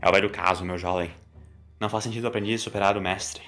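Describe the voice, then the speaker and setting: Homem interpretando velho mentor sábio, voz mais grave. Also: male voice, lowish voice, fairly even intonation, fast talker, a bit bright, faint music behind, voice pushed down, natural voice. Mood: wry, instructive. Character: old mentor time traveller, calm, wry and sage-like.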